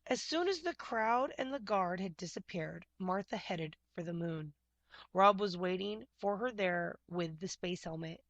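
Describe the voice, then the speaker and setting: A woman reading a children's picture book aloud in expressive narration. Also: fairly low pitch, a little slow, no room sound, dull audio.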